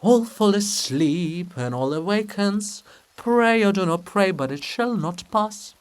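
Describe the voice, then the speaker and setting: A man's voice: high-pitched, expressive, slow pace. Doom-laden stage chorus, performed by a man: lamenting, declamatory, prophetic, chant-like. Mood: foreboding. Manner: incantatory, declamatory.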